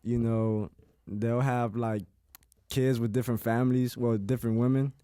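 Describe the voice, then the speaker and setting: A man's voice: medium pitch, flat delivery, moderate pace, dark tone, clean tone, no echo, studio quality. A man co-hosting a podcast, talking in a reflective way and filling his sentences with "like" and "you know". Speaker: podcast co-host. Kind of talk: casual podcast chat.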